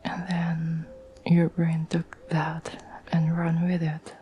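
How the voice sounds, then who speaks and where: A woman playing a caring, patient girlfriend in an ASMR roleplay, speaking in a soft, breathy whisper with a gentle, reassuring manner. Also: low voice, monotone, a little hiss or hum. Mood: apologetic, tender. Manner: gentle, slow, soothing, reassuring.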